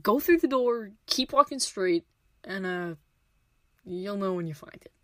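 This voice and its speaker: A woman's voice: medium pitch, expressive, measured pace, no echo, close to an everyday voice. Woman acting an easygoing, dryly joking guard. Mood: reassuring. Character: wry, loyal, reassuring female palace guard. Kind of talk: fantasy audio drama acting.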